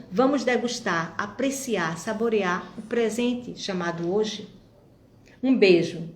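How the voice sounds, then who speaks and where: A woman's voice: mid-range voice, slight background noise. Woman, 50s, upbeat reflective vlogger speaking to camera in an echoey room. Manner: warm, encouraging, reflective, addresses viewers directly. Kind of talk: motivational monologue to viewers.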